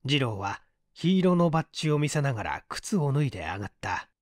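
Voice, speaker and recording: A man's voice: mid-range voice, sing-song, fairly quick, dry recording, studio quality. A man narrating mystery fiction from a script as an audiobook reader, in a dramatic manner.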